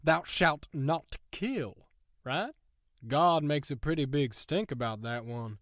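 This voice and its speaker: Male actor as prison warden, slightly high voice, sarcastic, menacing, theatrical, taunting, audio drama, very dry sound. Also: animated, takes it slowly, fairly bright tone, no room sound. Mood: mocking.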